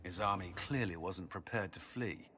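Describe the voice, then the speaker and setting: Forceful, stern military commander. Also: male voice, sits low, normal speed, faint music behind. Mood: assured. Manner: firm, crisp military briefing. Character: stern male military commander, confident and businesslike. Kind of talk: fantasy game dialogue.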